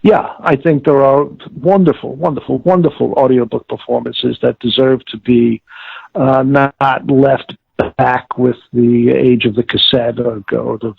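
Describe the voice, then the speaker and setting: A man giving reflective interview answers as an expert guest, halting and emphatic, repeating words for stress. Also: medium pitch, steady tone, creaky, breathy edge, no echo. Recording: narrow, tinny phone-like line.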